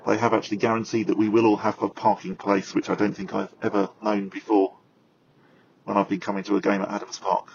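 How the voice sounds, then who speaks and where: A man's voice: lowish voice, level tone, brisk, vocal fry, darkish tone, lo-fi sound, slight background noise. Chatty male radio presenter. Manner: chatty, self-deprecating, lots of fillers. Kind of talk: casual on-the-go commentary and studio chat. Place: in a car, then walking outdoors at a stadium.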